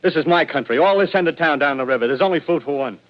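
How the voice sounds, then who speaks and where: An actor playing a suspicious, territorial survivor armed with a knife, speaking bluntly in a 1930s radio drama style on a dull lo-fi broadcast. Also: male voice, a little high, expressive, fast talker, close to an everyday voice.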